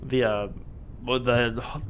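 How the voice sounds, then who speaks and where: A man's voice: mid-range voice, little pitch movement, takes it slowly, fairly bright tone, a little hiss or hum. A man co-hosting a casual podcast, rambling with lots of fillers and restarts. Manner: rambling, many fillers and restarts, laughs often. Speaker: podcast co-host.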